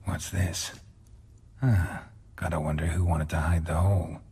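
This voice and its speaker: An actor playing a stoic, grizzled monster hunter in a fantasy video game, with a gravelly voice and a terse, deadpan delivery. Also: male voice, unhurried, full character voice. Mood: terse, probing. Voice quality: gravelly, husky low voice. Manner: terse, deadpan, clipped questions. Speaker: player character in a fantasy role-playing game.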